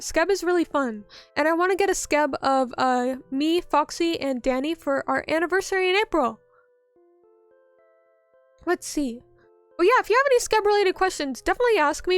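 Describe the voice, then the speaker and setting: Woman, high-pitched voice, casual streamer chat, rambling with fillers, soft music underneath. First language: English. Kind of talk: casual chat reading viewer messages.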